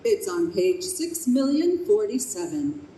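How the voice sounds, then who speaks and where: Casting: actress as knowing woman of heaven, deliberate, mellow, mid-pitched, echoey stage radio play. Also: big pitch swings. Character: composed, knowing, compassionate female spirit of heaven. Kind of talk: old-time radio play acting. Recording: echoey noisy live stage.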